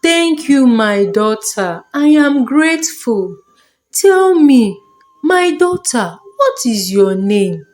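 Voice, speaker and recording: Frau spielt einen demütigen, bittenden alten Mann. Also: female voice, fairly high pitch, sing-song, takes it slowly. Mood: grateful. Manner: gentle, pleading, polite. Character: humble, thirsty, grateful elderly village man.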